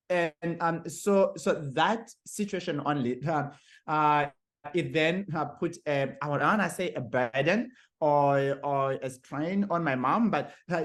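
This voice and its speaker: A young man from Zimbabwe telling his story as a podcast guest. He stumbles through many fillers and repeated words and laughs often. His voice sits a little high for a man. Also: fairly bright tone. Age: young adult. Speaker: guest author. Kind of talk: podcast interview.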